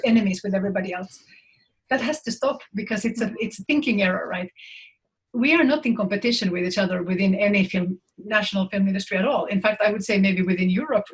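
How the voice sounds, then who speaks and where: A woman's voice: mid-range voice, rough recording. A woman in her forties from Finland, a film industry guest speaking frankly on a video call from a room at home, often restarting and repeating words. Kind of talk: panel talk on a video call. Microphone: laptop mic on a video call.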